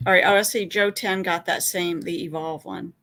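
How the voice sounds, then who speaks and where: A woman's voice: lowish voice, fairly even intonation, moderate pace, fairly bright tone. A woman taking part in a live call-in, chatting casually. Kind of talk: casual chat.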